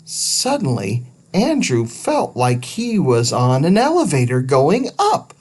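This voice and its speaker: Slow, very animated male storybook narrator. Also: on the high side. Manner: dramatic, with stretched words for suspense. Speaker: storybook reader. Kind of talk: expressive children's audiobook narration.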